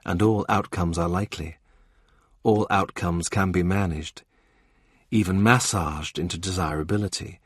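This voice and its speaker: A man narrating a historical novel in a measured, deliberate way. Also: low voice, fairly even intonation, breathy edge, no room sound, clean studio sound. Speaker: audiobook narrator. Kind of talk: scripted literary narration.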